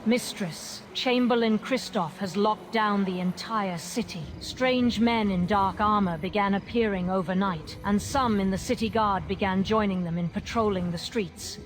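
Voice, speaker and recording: An actress playing a loyal, urgent night elf bodyguard in a video game. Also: female voice, medium pitch, moderate pace, hardly any pauses, background noise, natural voice. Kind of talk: video game character acting. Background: music.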